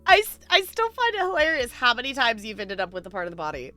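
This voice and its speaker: A woman's voice: a little high, wildly swooping pitch, fast, pushed and bright, no room sound, soft background music. A woman hosting a livestream, chatting casually with viewers and thinking aloud in a rambling way. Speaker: livestream host. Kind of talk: casual chat with live stream viewers.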